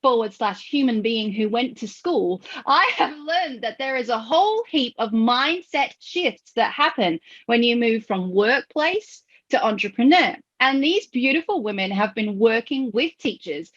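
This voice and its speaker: A bubbly, effusive woman hosts an interview over a video call. Her voice sits a little high. The audio is muffled and lo-fi. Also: expressive, a little slow, hardly any pauses, fairly bright tone. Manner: bubbly and effusive, laughs often. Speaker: podcast host.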